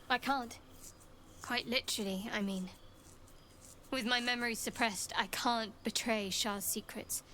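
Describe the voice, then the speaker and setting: An actress playing a guarded, devout young female cleric in a video game, measured and dryly wry. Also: medium pitch, a bit bright, a little hiss or hum, close to an everyday voice. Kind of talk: video game dialogue acting. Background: game music.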